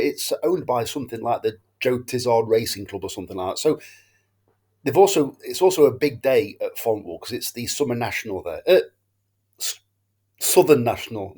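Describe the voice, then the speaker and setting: Bunged-up tipster. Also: male voice, medium pitch, lively intonation, moderate pace, a little mellow, husky. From England. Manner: rambling, self-correcting, loses his thread. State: bunged up with a cold and hangover. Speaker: horse racing tipster. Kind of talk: casual tipping monologue to camera.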